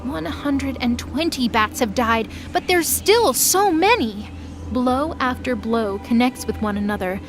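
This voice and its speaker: Female narrator, fairly high voice, dramatic scripted storytelling over clear background music. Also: animated, unhurried.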